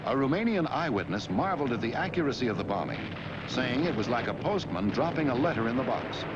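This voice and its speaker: A man's voice: mid-range voice, lo-fi sound, heavy background noise. A man reading scripted historical narration for a war documentary.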